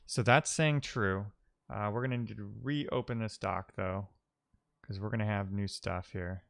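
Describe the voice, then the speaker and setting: A man's voice: on the low side, fairly even intonation, measured pace, gravelly. A man live-streaming a coding session, casually thinking aloud and muttering as he troubleshoots, with wry asides. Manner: muttering to himself while troubleshooting.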